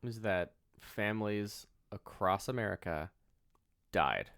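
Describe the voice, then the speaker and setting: A man's voice: on the low side, slow pace, creaky, a bit bright, no echo, studio quality. A man co-hosting a podcast, talking casually about films with a wry, sarcastic manner. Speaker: podcast co-host. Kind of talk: casual film discussion chat.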